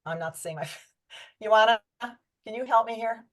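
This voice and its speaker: A woman's voice: fairly high pitch, a little slow, fairly bright tone, lo-fi sound. A woman hosting an online panel on a video call, chatty, with many fillers and restarts. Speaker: event host.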